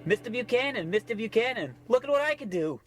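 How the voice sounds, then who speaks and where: Naive little kid paperboy, high voice all over the place, gee whiz mister, old radio comedy. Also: male voice, animated, fast talker, over music. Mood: eager, hurried. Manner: breathless, gee-whiz politeness. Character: eager, naive young paperboy, easily fooled. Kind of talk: comic old-time radio play acting.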